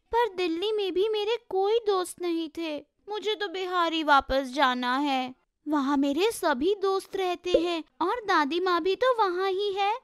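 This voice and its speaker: A woman's voice: extremely high pitch, no echo, clean studio sound. A woman voicing a lonely, homesick young schoolgirl in an educational cartoon. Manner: plaintive, homesick.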